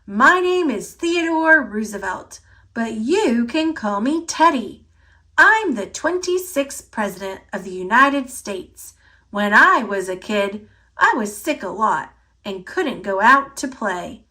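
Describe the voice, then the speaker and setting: A woman's voice: on the high side, very animated, takes it slowly, bright, forceful tone, heavily acted. A woman voicing a bold, hearty schoolgirl who plays Teddy Roosevelt in an exclamatory storybook style.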